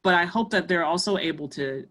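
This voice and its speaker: A woman's voice: on the low side, fairly quick, fairly bright tone. A woman from Canada, a musician guest, talking casually in an interview with many fillers.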